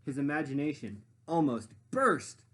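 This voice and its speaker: A man reads a children's story aloud like a teacher, swinging widely in pitch. His voice is a little husky, and he pauses to muse and prompt his listeners. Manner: animated, pausing to muse and prompt. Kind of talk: expressive children's story narration with questions to listeners.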